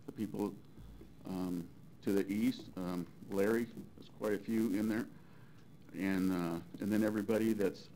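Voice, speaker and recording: Low-voiced older man, halting delivery. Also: darkish tone, low-quality recording. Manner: halting, thinking aloud. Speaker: resident speaking at a public hearing. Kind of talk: public comment, casual. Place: council chamber, indoors. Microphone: gooseneck podium microphone. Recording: faint background noise, public meeting room.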